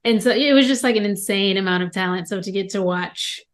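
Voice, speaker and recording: Slightly raspy woman, chatty guest. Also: medium pitch, fairly quick. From Ohio. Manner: chatty storyteller, laughs easily. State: slightly hoarse from a cold. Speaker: guest, stage actress and singer. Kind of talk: casual interview chat.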